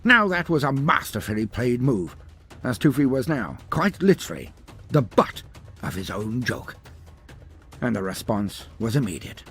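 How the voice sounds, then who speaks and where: A man's voice: mid-range voice, very animated, moderate pace, fairly bright tone, breathy edge, faint music behind. A man narrating a scripted story in a dramatic, grandiose, theatrical manner.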